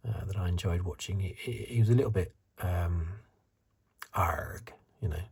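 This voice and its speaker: Low, hushed, breathy man doing ASMR. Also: moderate pace. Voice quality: breathy, soft, partly whispered. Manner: hesitant, musing, conversational. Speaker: ASMR reviewer. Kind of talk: soft-spoken ASMR commentary.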